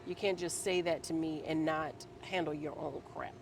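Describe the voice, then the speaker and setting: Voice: medium pitch, steady tone, moderate pace. Conversational host, chiming in often, recorded outdoors with street noise. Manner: agreeable, chiming in with short affirmations. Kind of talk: casual conversation.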